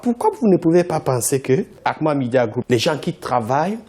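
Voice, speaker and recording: Insistent man, lively pitch. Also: brisk, breathy edge. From Cameroon. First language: English. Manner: insistent, repeats his points. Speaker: company founder, interview guest. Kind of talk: TV interview answers.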